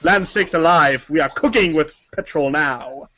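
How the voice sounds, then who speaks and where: A man's voice: fairly high pitch, moderate pace, sharp tone. A man voicing a jovial, pompous old-time colonel, reading florid, exclamatory prose in an archaic theatrical manner. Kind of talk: theatrical reading of a humor book. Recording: dull lo-fi audio.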